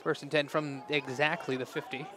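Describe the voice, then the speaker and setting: A man calling a high school football game play by play outdoors, chatty and quick to laugh, with his voice going hoarse. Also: flat delivery. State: losing his voice. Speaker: play-by-play announcer. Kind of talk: live football play-by-play commentary. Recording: crowd noise in background. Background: crowd noise.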